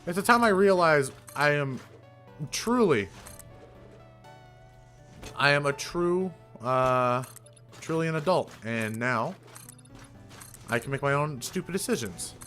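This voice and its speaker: A young man streamer chatting casually, rambling with fillers and casual swearing, with a fairly deep voice. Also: animated, slow, long pauses, fairly bright tone, faint music behind. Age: young adult. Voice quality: somewhat deep voice. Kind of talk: casual live-stream chat.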